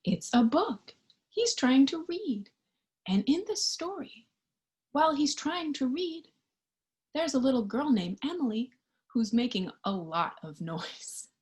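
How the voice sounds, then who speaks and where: High, very animated woman reading to children. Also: a little slow. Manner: expressive, child-directed, with questions and sound effects. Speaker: storytime reader for young children. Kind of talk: animated children's picture book read-aloud.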